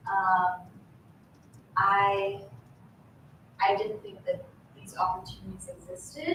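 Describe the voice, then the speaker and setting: A young woman with a New Mexican accent, an intern giving halting remarks to a town board, full of fillers. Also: mid-range voice, fairly bright tone, slight background noise. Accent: New Mexican English. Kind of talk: nervous public remarks. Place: town meeting hall. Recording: distant echoey meeting-room mic.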